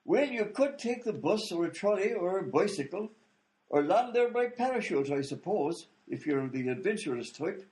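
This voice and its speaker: A man's voice: sits high, lively intonation, normal speed, a little mellow, poor audio. An actor in a radio comedy playing a cheerful, overly literal male police officer who rambles easily. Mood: easygoing. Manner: rambling and easygoing. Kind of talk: comic radio play acting.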